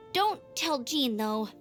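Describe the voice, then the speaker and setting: Super high lively game heroine, young knight scout, eager n chatty, bit of music behind. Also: female voice, exaggerated voice. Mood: chatty, excited. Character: perky, earnest young female knight scout and examiner. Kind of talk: animated video game voice acting.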